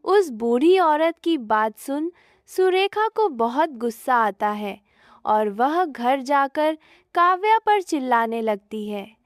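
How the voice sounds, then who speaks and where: A woman's voice: high voice, lively intonation, slow, dry recording, clean studio sound. A woman narrating a scripted moral story as its storyteller.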